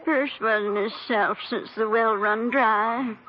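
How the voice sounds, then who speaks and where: A timid country wife, high-voiced and slow. She sounds wistful and puzzled, on a muffled, noisy old radio recording. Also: steady tone. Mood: wistful, troubled. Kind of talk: 1940s radio drama acting.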